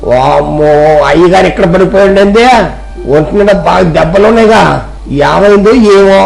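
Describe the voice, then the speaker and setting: A man performs an eager village servant in a storybook drama. The voice is high for a man, and the talk is colloquial, respectful and helpful. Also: normal speed, creaky, darkish tone, faint music behind, rough recording. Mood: alarmed. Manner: colloquial village speech, deferential. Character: rustic male servant, deferential, reassuring, eager to help.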